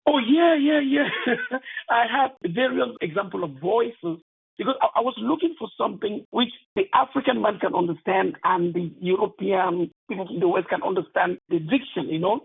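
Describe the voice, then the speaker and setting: High, husky Cameroonian man on phone-like line. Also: lively intonation. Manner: halting, peppered with "you know". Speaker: author being interviewed. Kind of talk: earnest conversational interview answers.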